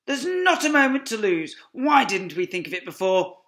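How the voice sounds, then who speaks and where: A reader voicing Mister Fox, a brave, quick-thinking male fox who leads his family, speaking with urgent, commanding shouts in a storybook reading. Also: medium pitch, expressive, voice pushed up.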